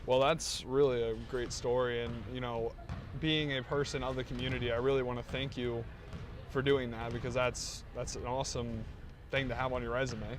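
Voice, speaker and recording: A teenage male student interviewer who asks questions in an earnest, somewhat halting way. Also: mid-range voice, little pitch movement. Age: teens. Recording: noisy outdoor surroundings.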